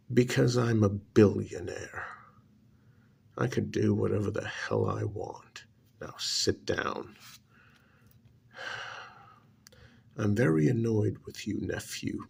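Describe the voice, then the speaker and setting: Man acting a very gravelly, haughty billionaire uncle. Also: on the low side, expressive, a little mellow, heavily acted. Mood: haughty annoyance. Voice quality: gravelly, husky, breathy. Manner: slow, condescending, drawn-out sarcasm with pauses. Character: haughty, irritated billionaire uncle, older man, coldly sarcastic. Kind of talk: ASMR roleplay monologue.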